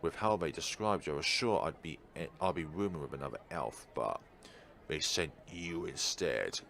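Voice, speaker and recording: A haughty male elf from a fantasy visual novel, acted out by a man reading along. He speaks in a deep voice, sneering and dismissive, as if everyone is beneath him. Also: moderate pace, gravelly, fairly bright tone, full character voice.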